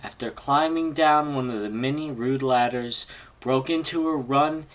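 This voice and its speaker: A man reading a horror story aloud informally on a live stream, halting and stumbling over long words. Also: mid-range voice, a bit bright. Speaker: amateur reader on a live read-along stream.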